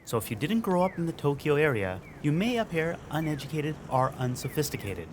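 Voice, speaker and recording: A man narrating a documentary in an even, explanatory way. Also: fairly high pitch, fairly quick, noisy background. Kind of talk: scripted narration.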